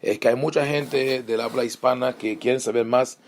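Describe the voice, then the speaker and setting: A man's voice: medium pitch, steady tone, moderate pace. A man from Israel with an Israeli accent, a rabbi teaching an online lesson with many fillers and restarts. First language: Hebrew.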